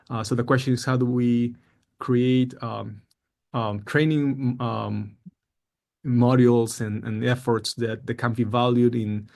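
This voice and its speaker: A middle-aged man from Peru giving an academic presentation on his research project, halting often with fillers and repeated words. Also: medium pitch, gravelly.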